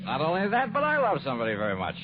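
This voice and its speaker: A man's voice: on the high side, wildly swooping pitch, racing. An actor playing a drunken, maudlin, petulant rich young man who slurs and rambles, in a 1940s radio drama. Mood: maudlin, boastful. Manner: slurred, rambling, tipsy. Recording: noisy dull 1940s radio broadcast.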